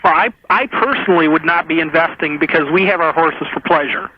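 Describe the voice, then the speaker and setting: A man phones in to a radio show and talks conversationally. His voice is somewhat high. The line is muffled, with clear noise and clicks. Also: fairly quick. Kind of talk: casual call-in conversation. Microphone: telephone.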